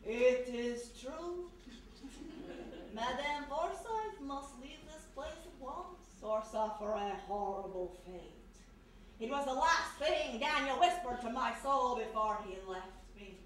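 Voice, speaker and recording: Solemn female medium, slow and portentous, radio mystery melodrama, very echoey, distant mic. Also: sits high, expressive, fairly bright tone, a little hiss or hum, full character voice. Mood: ominous warning. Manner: slow, portentous, drawn-out delivery. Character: solemn, ominous female spirit medium channelling the dead. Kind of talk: live 1940s-style radio mystery acting.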